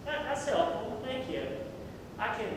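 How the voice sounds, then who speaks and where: A man playing an exasperated, sarcastic character in a community theatre comedy. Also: unhurried. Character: exasperated, sarcastic man hunting for a lost thingamabob. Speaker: amateur stage actor. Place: theatre stage, distant microphone. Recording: noisy echoey hall from far off, rumble.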